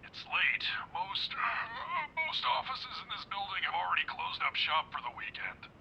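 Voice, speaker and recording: An actor playing a friendly, bumbling security guard in a video game, chatty and folksy with a hesitant delivery. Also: male voice, high voice, huge pitch swings, moderate pace, vocal fry, bright, forceful tone, a little hiss or hum, exaggerated voice, rough recording. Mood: mildly stern. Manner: folksy, hesitant, good-natured.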